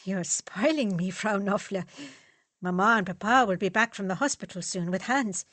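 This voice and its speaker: A woman voicing a polite, earnest young German man who teaches, speaking in a measured way. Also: medium pitch, a bit bright, no room sound, natural voice. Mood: fond.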